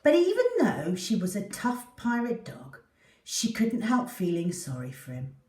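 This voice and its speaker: A woman reading a children's storybook aloud in an animated, dramatic way. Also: mid-range voice, measured pace, recorded from a distance. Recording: echoey room.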